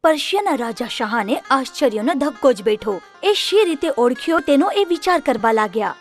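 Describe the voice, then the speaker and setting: A woman narrating a children's story in an expressive storytelling manner. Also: sits high, moderate pace, faint music behind.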